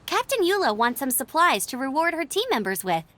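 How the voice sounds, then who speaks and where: A woman's voice: high voice, sing-song, a little fast, sharp tone, exaggerated voice. A woman playing an upbeat, chatty young female scout in a video game, bubbly and informal. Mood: cheerful, admiring. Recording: background music.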